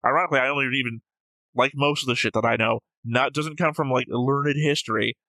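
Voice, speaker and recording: Bright-voiced man, argumentative and digressive. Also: medium pitch, steady tone, a little fast, no room sound. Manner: argumentative, digressive, self-correcting. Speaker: reaction show host. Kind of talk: casual discussion, reading out viewer comments.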